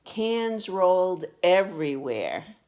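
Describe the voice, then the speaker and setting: A woman narrates a children's story in a slightly low-pitched voice. Her pace is slow and deliberate, and she reads expressively, as a storyteller does with a picture book. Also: sing-song, dark tone. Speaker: storybook reader.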